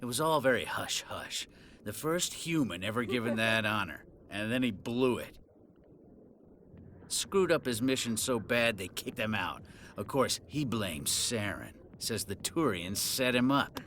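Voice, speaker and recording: A man's voice: lowish voice, animated, a little slow, fairly bright tone, a little hiss or hum. An actor playing a disgraced middle-aged policeman in a video game, boozy, sardonic and sneering. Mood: sardonic, bitter.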